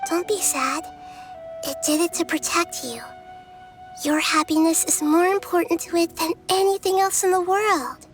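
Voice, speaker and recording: A childlike girl goddess from a video game speaks in a high voice. She sounds gentle, wise and thoughtful, with music playing clearly behind her. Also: steady tone, normal speed. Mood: gently consoling. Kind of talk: scripted video game voice acting.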